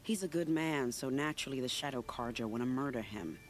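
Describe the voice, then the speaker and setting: A woman's voice: extremely low pitch, lively intonation, fairly quick, a little hiss or hum. An actress playing a sly, flirtatious female spy in a game, teasing and confiding in a playful tone. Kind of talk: video game cutscene acting.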